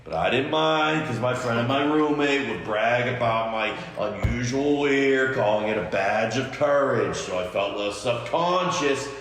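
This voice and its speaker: A man's voice: medium pitch, expressive, normal speed, few pauses, fairly bright tone, slight background noise. A man, a podcast co-host, reading a film script aloud in an amateur table read. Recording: very echoey room.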